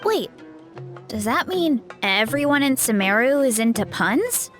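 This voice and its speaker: A woman playing a gentle, naive young warrior in a game, guileless and hesitant in manner. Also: fairly high pitch, sing-song, measured pace, faint music behind. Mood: wondering.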